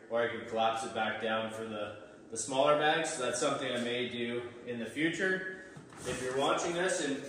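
A man's voice: mid-range voice, fairly bright tone. Man in a very echoey workshop, off-mic. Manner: easygoing, informal explaining while working. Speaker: instructor demonstrating canoe outfitting. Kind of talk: casual hands-on tutorial. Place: large bare indoor workshop with plastic-sheeted walls. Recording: very echoey room, distant mic, faint noise.

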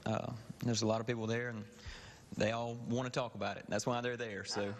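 A man's voice: medium pitch, little pitch movement, brisk, in a large hall, a little hiss or hum. A man in his thirties giving short, hesitant answers as a guest on a local TV show. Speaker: insulation company guest. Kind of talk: live TV interview. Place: TV studio set.

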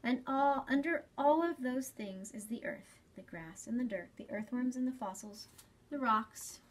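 High, slightly breathy woman, children's storytime. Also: rough recording. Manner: gentle, deliberate explaining to young children. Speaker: children's storytime host at a library. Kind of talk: children's storytime reading and talk. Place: small library space, indoors.

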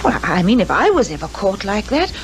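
A woman playing an excitable, indignant detective's assistant with a British accent, exclaiming and flustered in a 1950s radio drama. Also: medium pitch, brisk. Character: excitable, indignant, soft-hearted spinsterish female assistant. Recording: very noisy 1950s radio recording.

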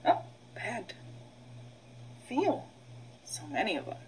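Slow-paced woman teaching young children. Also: a little high, huge pitch swings, darkish tone, slight background noise. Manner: instructional, short simple phrases with pauses. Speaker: preschool teacher. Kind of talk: children's read-aloud and vocabulary drill.

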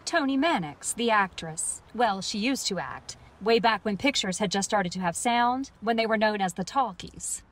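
A woman's voice: medium pitch, animated, normal speed, few pauses, lightly acted. A woman playing a candid, concerned and rueful 1950s film actress in a naturalistic audio play. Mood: confiding.

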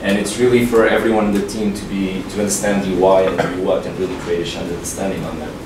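Man, very low voice, explaining steadily, far from mic in an echoey room. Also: little pitch movement, fast, background noise. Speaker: presenter. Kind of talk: tech meetup talk. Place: large meeting room with projector.

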